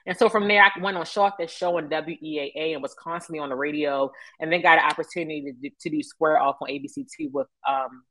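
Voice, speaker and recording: A woman's voice: sits low, fast talker, few pauses, fairly bright tone, no echo, low-quality recording. An American woman in her thirties talking conversationally as an interview guest, recounting experiences and voicing remembered dialogue. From Baltimore, United States. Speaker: interview guest, media professional. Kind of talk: conversational personal storytelling.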